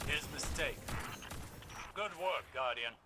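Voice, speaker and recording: A man playing an older, proud and scholarly warlock mentor in a video game, speaking formally and deliberately. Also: medium pitch, animated, noisy background. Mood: commanding, composed.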